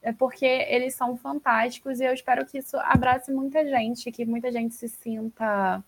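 High-voiced Brazilian woman hosting a podcast. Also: steady tone, normal speed, a little hiss or hum. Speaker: podcast host. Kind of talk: casual chat about books.

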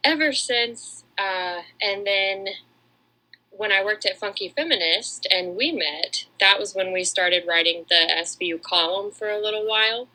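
A woman's voice: mid-range voice, a little slow, bright, forceful tone, poor audio. A woman from Atlanta in the United States chatting casually and a little self-deprecatingly as a podcast co-host. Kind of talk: casual chat.

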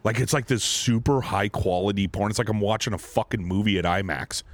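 A man's voice: lowish voice, fairly quick, no room sound. A man in his thirties co-hosting a podcast, talking in casual, profane banter and laughing often. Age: 30s. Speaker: podcast co-host.

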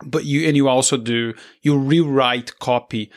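A man whose first language is Portuguese, hosting a podcast interview in a conversational, halting way with frequent restarts. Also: mid-range voice, steady tone. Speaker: podcast host.

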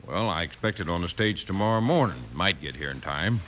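An actor playing a reluctant, polite small-town banker who hedges uneasily in a 1950s Western radio drama. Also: male voice, low voice, animated, a little fast, fairly bright tone. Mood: uneasy, reluctant. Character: hesitant, reluctant male small-town bank man. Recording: slightly noisy vintage radio recording.

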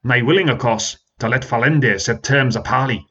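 A man voicing a young human prince in a storybook reading, speaking in a brisk, commanding way. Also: mid-range voice, pushed and bright, breathy edge, highs cut off. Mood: defiant.

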